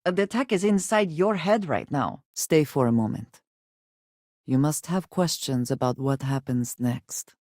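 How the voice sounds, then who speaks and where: An actress playing a guarded, earnest female companion in a space adventure video game, with formal, measured diction. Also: extremely low pitch, a little mellow, no room sound, heavily acted. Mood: calm, earnest. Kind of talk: scripted video game character dialogue. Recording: very dry, close studio recording.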